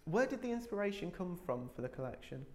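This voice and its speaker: A man interviewing a fashion designer, asking questions in a measured, polite way. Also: high-pitched, very animated.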